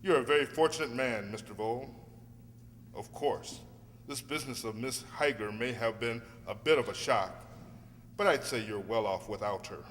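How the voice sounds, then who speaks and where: Echoey hall with faint hum, man acting earnest radio drama lawyer, highish forceful voice, formal speechifying. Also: moderate pace, natural voice. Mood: reassuring. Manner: oratorical, formal. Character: earnest, dogged defence barrister. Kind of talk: courtroom radio play acting.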